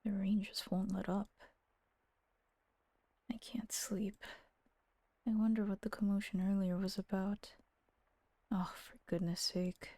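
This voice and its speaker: A woman voicing a fretful young master of a gothic house in a visual novel, brooding to himself in a breathy half-whisper. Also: medium pitch, little pitch movement, warm tone, no room sound. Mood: uneasy, hushed. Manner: slow, brooding self-talk.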